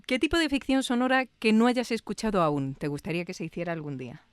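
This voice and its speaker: Clear-voiced Spanish woman hosting an interview. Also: fairly high pitch, sing-song, fast talker, dry recording, studio quality. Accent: Spain. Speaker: interview host. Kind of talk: casual interview conversation.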